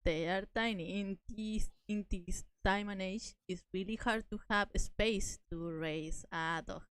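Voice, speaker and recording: A woman's voice: medium pitch, fairly even intonation, measured pace, fairly bright tone, smooth, no room sound. A woman hosting a casual debate chat, giggling often as she talks. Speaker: host.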